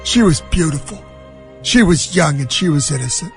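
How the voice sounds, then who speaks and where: High-pitched, blustering movie mogul. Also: male voice, lively intonation, gravelly, faint music behind. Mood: bitter, boastful. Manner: emphatic, drawn-out ranting. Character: blustering, indignant middle-aged movie mogul with a grudge. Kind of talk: comic impression of a film scene.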